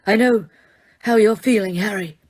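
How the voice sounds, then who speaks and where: A woman voicing a calm, quiet elderly male headmaster wizard in an audiobook, speaking in a raised, altered voice. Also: mid-range voice, normal speed, pitched above the performer's own voice, natural voice. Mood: serene. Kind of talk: audiobook character reading.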